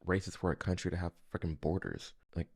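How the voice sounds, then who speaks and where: A man's voice: low-pitched, fairly even intonation, fast talker, a little mellow. A man hosting a commentary video, reacting with opinions in an informal, conversational way.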